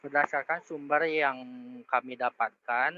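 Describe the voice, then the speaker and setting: A man's voice: a little high, fairly even intonation, measured pace, dull audio. A young man reading out his part of a student group presentation. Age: young adult.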